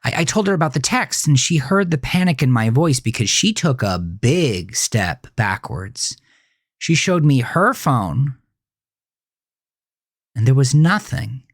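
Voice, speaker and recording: An actor playing a haunted, dazed teenage boy, narrating a horror audio drama as a brooding, halting confession. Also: medium pitch, very animated, no room sound, studio quality. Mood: anxious, unsettled.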